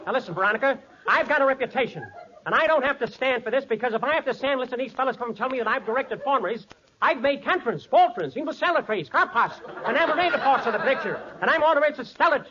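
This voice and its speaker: A man's voice: very high-pitched, lively intonation, pushed and bright, heavily acted. A man playing a fussy film director who slips earnest gibberish into his sentences, in 1940s radio comedy. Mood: indignant. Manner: rapid double-talk, nonsense slipped into sentences. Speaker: comic supporting actor. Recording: muffled 1940s radio recording, studio audience.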